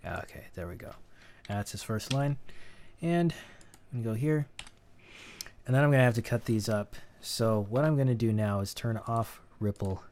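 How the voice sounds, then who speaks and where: A man's voice: medium pitch, expressive, moderate pace, darkish tone, slight background noise. A man teaching sound editing in a casual, think-aloud tutorial, with keyboard clicks behind him. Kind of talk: screen-recorded tutorial.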